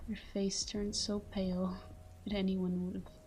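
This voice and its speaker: A woman playing a tender, melancholy vampire lover in an intimate ASMR monologue, speaking softly and slowly in a breathy half-whisper, close to the microphone. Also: medium pitch, flat delivery, lightly acted. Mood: hushed, reminiscing. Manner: slow, hushed, wistful delivery. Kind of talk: intimate ASMR sleep-aid roleplay monologue. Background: soft background music.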